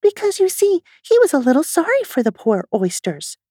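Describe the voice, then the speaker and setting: A polite, earnest little girl from a storybook, played by a woman, speaks in a high, prim, childlike voice. The intonation swings widely and turns easily indignant. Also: wildly swooping pitch, normal speed, dark tone, no room sound, studio quality, full character voice. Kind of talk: storybook character reading.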